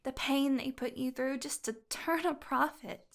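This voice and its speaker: Woman playing a high-voiced, obsessively devoted fantasy healer. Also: fairly even intonation, measured pace, gravelly. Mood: confessing, cold. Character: possessive female fantasy healer, secretly bloodthirsty, tenderly devoted. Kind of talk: intimate audio roleplay monologue.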